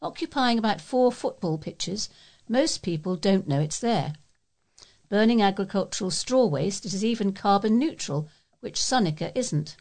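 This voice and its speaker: A woman with a British accent reads local news and letters aloud. She sounds like a volunteer newsreader working from a written script, with a medium-pitched voice. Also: creaky, a little mellow.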